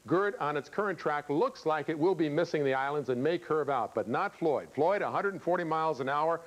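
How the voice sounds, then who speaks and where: A man's voice: a little high, expressive, a little fast. A man with an American accent giving an ad-libbed, conversational TV weather report, explaining satellite maps with emphasis. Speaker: TV weather forecaster.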